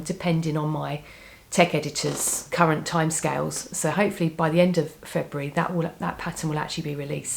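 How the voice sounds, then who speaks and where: A woman with a cold, sounding a little croaky, chatting casually to camera about her knitting plans, rambling with frequent restarts. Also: sits low, a little hiss or hum. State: has a cold, slightly croaky. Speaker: knitting vlogger.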